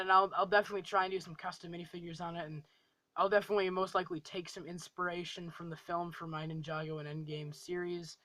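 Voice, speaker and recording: A man's voice: high-pitched, fairly bright tone, no room sound, low-quality recording. A young man giving a casual, enthusiastic video review, gushing and rambling and repeating phrases for emphasis. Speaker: film review vlogger.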